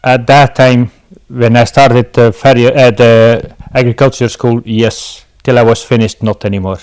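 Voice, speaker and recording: Casting: middle-aged male interview guest, Belgian accent, medium pitch, conversational delivery. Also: flat delivery, normal speed, a little mellow, clear, resonant tone. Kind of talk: casual interview answers.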